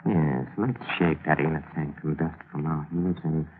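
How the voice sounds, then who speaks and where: An actor playing a suave, conspiratorial mystery host on 1940s radio, measured, theatrical and inviting. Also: male voice, rumbling low, steady tone, a little hiss or hum. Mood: sly. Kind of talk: 1940s radio scripted hosting. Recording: dull 1940s radio recording. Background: music.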